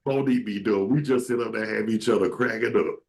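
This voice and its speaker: Male talk show host, deep and creaky, casual comic storyteller with a slangy, joking delivery. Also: quick pace. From southern United States. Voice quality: deep, carrying voice. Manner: joking, animated quoting of others, slangy.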